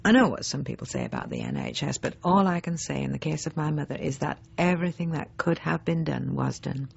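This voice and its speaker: Radio monologue: snobbish middle-aged housewife, self-righteous and prim, husky with a creaky edge, on a slightly dull recording. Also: female voice, low-pitched, big pitch swings, a little fast, a little mellow, heavily acted. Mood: rueful, self-justifying. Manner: prim, pointed, self-justifying, with emphatic swoops. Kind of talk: radio drama monologue, confiding.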